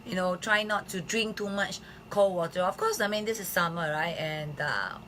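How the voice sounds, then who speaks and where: A woman with a hoarse voice hosting a casual live health stream, chatting with lots of fillers. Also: lowish voice, moderate pace, a bit bright, a little hiss or hum. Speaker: host of a live health show. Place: Philippines.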